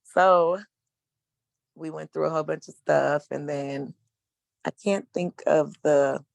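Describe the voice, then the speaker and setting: Gravelly man in his thirties, frank. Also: fairly high pitch, steady tone, takes it slowly, no echo. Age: 30s. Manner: frank, casual, slangy, hesitant fillers. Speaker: panelist. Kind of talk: personal testimony on a panel.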